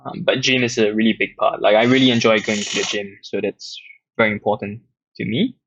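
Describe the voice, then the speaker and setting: Gravelly Singaporean man, lo-fi. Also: fairly low pitch, brisk, slight background noise. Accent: Singaporean English. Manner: relaxed, rambling, reads questions aloud with many fillers. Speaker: app community host answering questions. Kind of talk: casual livestream Q&A. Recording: dull lo-fi dry audio.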